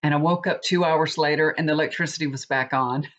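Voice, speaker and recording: A woman's voice: sits low, steady tone, fast. Middle-aged woman, sniffly with a cold, rambling casual vlog chat, dull lo-fi sound. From Texas. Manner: chatty, rambling, with many restarts. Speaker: tarot reader. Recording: dull lo-fi recording.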